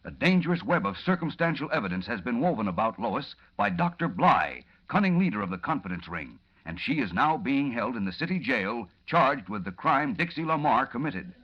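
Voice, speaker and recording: A man's voice: mid-range voice, very animated, normal speed, hardly any pauses, slightly rough. Dramatic male radio narrator, sweeping intonation. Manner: grave, theatrical, suspenseful. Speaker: announcer-narrator. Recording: dull old radio recording.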